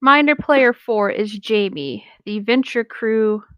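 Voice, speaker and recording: A woman's voice: a little high, slow pace, fairly bright tone, clear, resonant tone, dry recording. A woman co-hosting a show, reading a story aloud informally and breaking off for sardonic banter. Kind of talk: casual story narration and banter.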